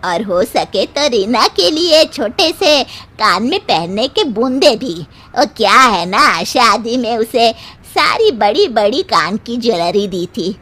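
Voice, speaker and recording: A woman's voice: on the high side, big pitch swings, takes it slowly, a bit bright, full character voice. A woman voicing a demanding, scolding older mother-in-law in a cartoon moral story. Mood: demanding, bossy. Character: nagging, greedy mother-in-law, older woman, partial to her daughter.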